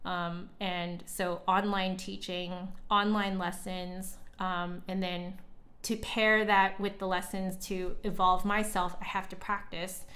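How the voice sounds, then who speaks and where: A woman's voice: on the low side, level tone, takes it slowly, fairly bright tone. A woman livestreamer and online teacher chatting casually with viewers in a candid, informal way.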